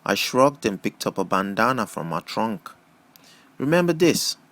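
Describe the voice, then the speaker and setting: A man's voice: lowish voice, moderate pace, a bit bright. A man reading a first-person novel aloud as scripted audiobook narration. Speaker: audiobook reader.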